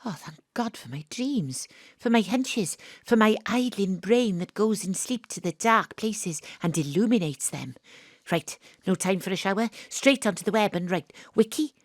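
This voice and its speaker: A woman's voice: mid-range voice, animated, moderate pace, dry recording, studio quality, natural voice. A woman acting an eccentric amateur detective who narrates her investigation in an audio drama, theatrically and with dramatic repetition. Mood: intense, searching. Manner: theatrical, breathless self-talk with dramatic repetition. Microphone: close home studio microphone.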